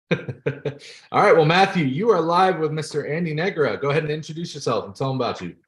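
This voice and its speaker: A male host running a live video chat, upbeat and quick to laugh. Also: a little high, lively intonation. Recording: echoey room.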